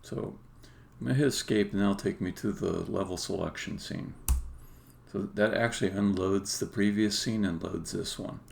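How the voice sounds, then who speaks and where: Low, creaky-voiced man thinking aloud. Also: normal speed, darkish tone. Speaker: game developer streaming live coding. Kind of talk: casual live-coding commentary, thinking aloud. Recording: faint background noise with keyboard clicks. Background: computer keyboard typing and clicking.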